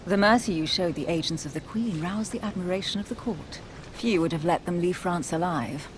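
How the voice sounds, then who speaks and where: A woman plays an enigmatic noblewoman in a game cutscene. She speaks in a lowish, refined voice, poised, flirtatious and evasive. A steady rumble is clearly heard behind her. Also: fairly quick, natural voice. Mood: teasing. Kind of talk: video game cutscene acting.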